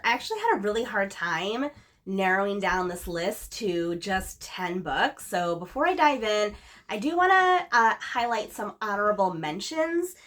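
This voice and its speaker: A woman's voice: mid-range voice, a bit bright. A woman reviewing books to camera, chatty and enthusiastic, a little sniffly from a cold she is getting over. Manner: chatty and enthusiastic, repeats "really". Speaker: book reviewer. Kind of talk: casual chat to camera.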